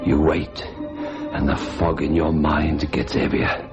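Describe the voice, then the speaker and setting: Very deep, haunted radio thriller night clerk. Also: male voice, flat delivery, slow. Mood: brooding dread. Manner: hesitant, stammering, haunted. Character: nervous, guilty male hotel night clerk drawn into crime. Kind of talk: radio thriller acting with brooding narration. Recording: dull radio drama recording with music.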